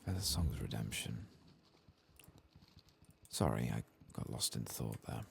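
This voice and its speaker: A man with an extremely low, gravelly voice gives soft ASMR game commentary. He murmurs and whispers as if musing to himself, his pitch wandering, with a faint noise behind him. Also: very animated, a little slow. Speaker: streamer playing a fantasy role-playing game.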